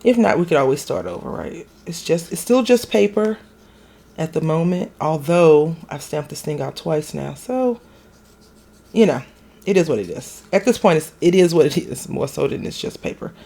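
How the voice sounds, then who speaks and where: Raspy-voiced woman chatting while crafting. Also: sits low, expressive, normal speed, warm tone. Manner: chatty and rambling, self-correcting. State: recovering from illness, hoarse. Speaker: craft channel host. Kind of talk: casual chat while stamping a card.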